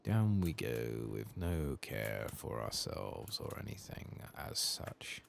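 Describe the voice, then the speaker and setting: A soft-spoken man muttering playful, sweary, self-mocking ASMR commentary as he hosts a video game playthrough. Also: a bass voice, sing-song, takes it slowly, vocal fry, slight background noise. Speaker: host of a gaming playthrough channel.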